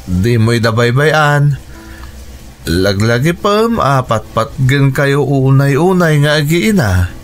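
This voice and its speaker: A man with an Ilocano accent playing a jobless, dejected husband and father in a radio drama, halting and brooding as he speaks. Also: medium pitch, sing-song, soft background music. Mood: apologetic, maudlin. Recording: noticeable background noise and ambience.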